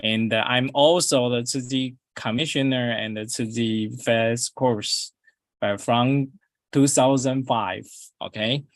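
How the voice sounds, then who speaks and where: Middle-aged taiwanese guy, english not his first language, slow and halting, lots of uhs, dry sound. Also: medium pitch, fairly even intonation, no echo. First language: Chinese. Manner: halting, many fillers and restarts. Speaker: dentist giving a guest talk. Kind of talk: prepared presentation on a video call.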